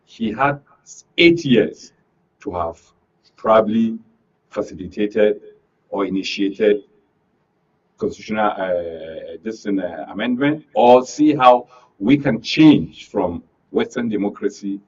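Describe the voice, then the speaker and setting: Nigerian male commentator, low husky voice, emphatic rhetorical delivery, dull distant recording. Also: slow, darkish tone. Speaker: studio guest, public affairs commentator. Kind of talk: political commentary. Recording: dull lo-fi sound, distant from mic.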